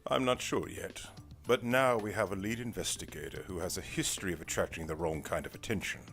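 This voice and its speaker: A man's voice: low voice, brisk, creaky, a bit bright. A man playing a formal, dry, weary older private investigator in an audio drama, speaking in precise, wordy sentences. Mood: measured, businesslike. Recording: music behind the voice.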